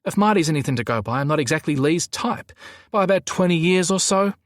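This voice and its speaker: A man voicing a flamboyant, cheeky younger man with an edge of nerves, in animated audiobook dialogue. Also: medium pitch, a little fast, no echo, voice pushed up. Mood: cheeky, smooth.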